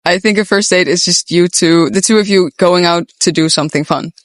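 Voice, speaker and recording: Frank, relaxed high-voiced man. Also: fairly quick, no echo, studio quality. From Netherlands. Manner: relaxed, frank, casual slang. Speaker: interviewee. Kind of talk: casual street interview. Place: outdoors on a city street.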